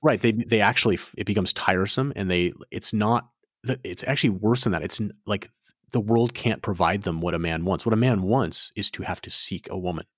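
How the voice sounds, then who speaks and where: A man's voice: on the low side, fairly quick, no echo, studio quality. A man co-hosting a podcast, talking frankly and rambling, with many restarts. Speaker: podcast co-host. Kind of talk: casual podcast chat.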